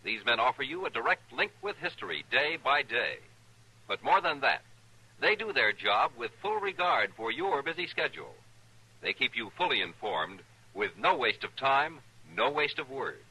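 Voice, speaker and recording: A man's voice: mid-range voice, bright, forceful tone, husky, poor audio. An American man reading a scripted 1950s radio promo as announcer, polished and emphatic. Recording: old-time radio recording.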